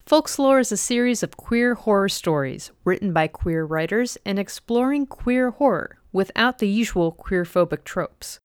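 A woman's voice: mid-range voice, animated, normal speed, hardly any pauses, clean tone, no room sound, studio quality. A woman hosting a podcast, reading a scripted introduction to a story. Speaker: podcast host introducing stories.

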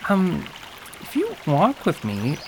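An actor playing a courteous, slightly tentative young traveller in a fairytale audio drama. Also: male voice, fairly high pitch, wildly swooping pitch, takes it slowly, slight background noise, full character voice. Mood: friendly, curious.

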